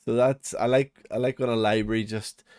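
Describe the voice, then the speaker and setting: A man's voice: medium pitch, fairly even intonation, normal speed, a little mellow, clean tone, no echo, studio quality. A man with a Northern Irish accent giving a casual, unscripted walkthrough as a tutorial host, chatty, rambling and self-deprecating. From Northern Ireland. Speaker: music production channel host.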